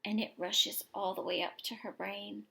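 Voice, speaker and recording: A woman teacher reading a picture book aloud expressively to young children, pausing for explanations and questions. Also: medium pitch, little pitch movement, vocal fry, sharp tone. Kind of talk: expressive children's story read-aloud with asides to listeners.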